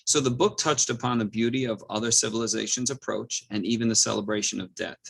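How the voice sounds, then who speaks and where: A man's voice: on the low side, fast talker, creaky, fairly bright tone, breathy edge. A man moderating a library talk over a video call, reading out audience questions politely. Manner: polite, reads questions aloud.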